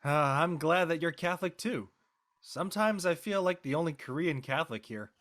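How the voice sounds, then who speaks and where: Man as a skeptical teenage boy, blunt, a bit awkward, lively swooping pitch. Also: normal speed. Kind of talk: casual live script reading.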